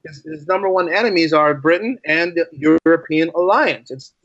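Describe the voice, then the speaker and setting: Talkative guy on a podcast, kinda high bright voice, really into it, dry sound. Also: normal speed, dry recording, highs cut off. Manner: enthusiastic, talkative. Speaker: podcast guest, writer and podcaster. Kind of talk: casual interview chat.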